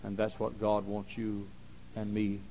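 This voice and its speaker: A man preaching a sermon, repeating phrases and swinging from quiet, slow talk to loud shouting. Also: on the low side, completely flat delivery, a little hiss or hum, low-quality recording.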